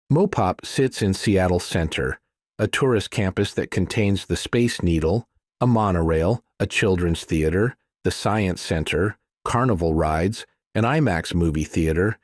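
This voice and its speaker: A man with a deep voice reads thriller fiction as an audiobook narrator. His storytelling is measured and wry, recorded dry and close. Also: no room sound. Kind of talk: scripted thriller narration, present tense.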